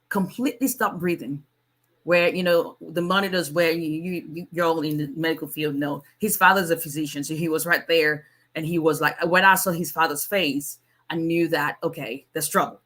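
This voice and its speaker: Lady lawyer, 40s, big strong voice, kinda sharp and bright, chatty live q&a vibe. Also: fairly low pitch. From Nigeria. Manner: strong voice, chatty, with many restarts. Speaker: immigration attorney. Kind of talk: casual livestream Q&A.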